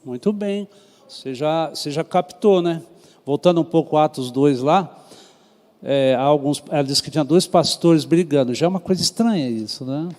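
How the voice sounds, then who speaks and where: A fairly high-voiced man with a Brazilian Portuguese accent teaching a church Bible class. His tone is mellow and unhurried, and he keeps turning questions back to the class. The sound carries a long reverb, as if in a large church hall. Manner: conversational, asks the class questions. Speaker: pastor teaching Sunday school class.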